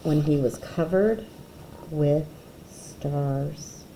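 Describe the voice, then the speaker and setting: A woman reading a children's picture book aloud expressively, with dramatic pauses and emphasis. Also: deep voice, heavy background noise.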